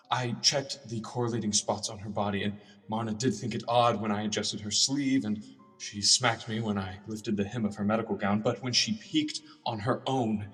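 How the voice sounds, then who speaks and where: An actor in a radio drama playing an anxious, obsessive nineteenth-century doctor who mutters haltingly to himself. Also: male voice, lowish voice, lightly acted. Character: agitated, obsessive 19th-century German male doctor. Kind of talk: radio drama acting, monologue and journal narration. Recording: distant miking, music underneath.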